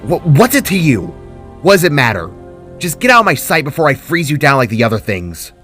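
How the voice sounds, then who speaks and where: A man's voice: fairly high pitch, big pitch swings, a little fast, a bit bright, faint music behind, voice pushed up. A man voicing a hostile, hurting female spark with ice powers in a story, stern, barking and sarcastic. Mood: baffled annoyance.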